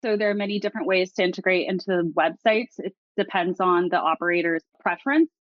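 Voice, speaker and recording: A woman's voice: fairly low pitch, quick pace, no echo, highs cut off. A woman from customer success on a video call, explaining fluently and upbeat. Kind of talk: casual video call interview.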